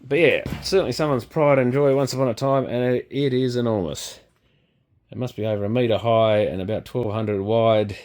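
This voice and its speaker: A man's voice: medium pitch, steady tone, normal speed, a little mellow, faint music behind. A man with an Australian accent giving casual, unscripted hands-on commentary as a repairer, musing in an understated way. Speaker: electronics repairer presenting his channel.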